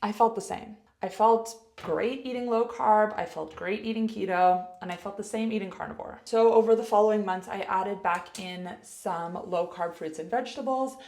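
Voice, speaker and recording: A woman's voice: mid-range voice, moderate pace, few pauses, darkish tone, echoey room. A young adult woman with a Canadian accent, chatting upbeat in a get-ready-with-me vlog as a health coach, laughing between points.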